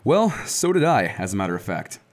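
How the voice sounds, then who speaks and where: A woman's voice: a bass voice, big pitch swings, a little fast, darkish tone, full character voice. A woman playing a genial, unflappable male superhero in a radio drama, breezy and good-humoured. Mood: wry, cheerful. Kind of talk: old-time radio drama acting.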